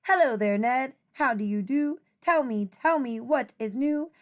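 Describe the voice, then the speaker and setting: A woman with a high voice reads a children's picture book aloud as a teacher would. Her delivery is slow and deliberate, with an expressive, singsong feel for the rhymes. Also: highs cut off.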